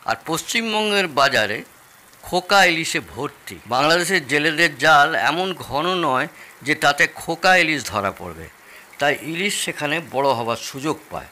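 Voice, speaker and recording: A man's voice: fairly high pitch, big pitch swings, a little slow, pushed and bright, exaggerated voice. An actor playing a jovial, proud older Bengali father-in-law in an audio drama, explaining things expansively and with relish. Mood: earnest, critical.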